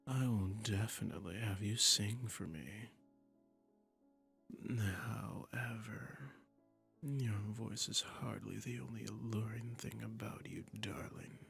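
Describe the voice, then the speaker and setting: Man voicing a slow, silky, imperious demon prince. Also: fairly low pitch, creaky, pushed and bright, heavily acted. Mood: sultry. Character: seductive, commanding male demon prince claiming a captive singer. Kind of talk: romantic roleplay audio drama.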